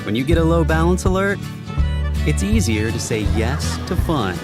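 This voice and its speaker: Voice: medium pitch, animated, moderate pace. A commercial announcer reading a scripted bank advertisement over music. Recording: loud music under the voice.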